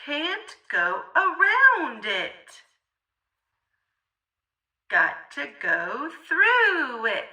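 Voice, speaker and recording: A woman reads a picture book to small children with big, theatrical expression. Her voice is high and extremely bright, with a rhythmic sing-song lilt. She sounds set back from the microphone. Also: wildly swooping pitch, drawn-out words, long pauses, far from the mic. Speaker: children's storybook reader. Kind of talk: theatrical read-aloud for young children.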